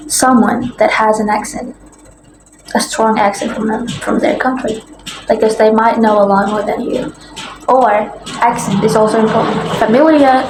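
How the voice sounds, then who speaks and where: A woman with an Indonesian accent, a native Indonesian speaker, giving earnest, encouraging advice in a casual vlog and pausing to search for words. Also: on the high side, a little mellow. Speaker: language vlogger. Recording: roomy echo with faint background noise.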